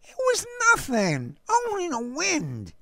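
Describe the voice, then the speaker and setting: A man's voice: extremely high pitch, huge pitch swings, slow, gravelly, bright, forceful tone, no echo, clean studio sound, voice pushed up, full character voice. A man voicing a curious, unworried young boy in a story, matter-of-fact and a little dismissive.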